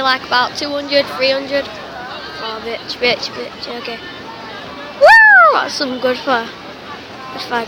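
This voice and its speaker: A woman with a slightly croaky voice, chattering excitedly as she vlogs with a handheld phone at an outdoor bonfire, repeating exclamations and calling people mate. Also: high-pitched. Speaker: vlogger. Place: outdoor bonfire event with a big crowd. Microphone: handheld phone camera. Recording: outdoor phone audio with crowd and noise. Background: crowd chatter and outdoor noise.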